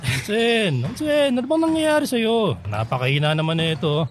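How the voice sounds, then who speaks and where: An animated, competitive Filipino-drama dad. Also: male voice, high voice, normal speed, a little hiss or hum. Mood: pushy, teasing. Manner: exhorting, teasing. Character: competitive, teasing, devoted middle-aged father coaching his son. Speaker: radio drama actor. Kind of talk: radio drama acting. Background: background music.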